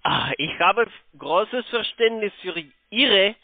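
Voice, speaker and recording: High-pitched foreign-accented man on tinny phone. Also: animated, no echo. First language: not German. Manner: halting, broken German, frequent tag questions. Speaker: telephone sales caller pitching investments. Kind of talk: unscripted phone sales pitch. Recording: narrow tinny phone line.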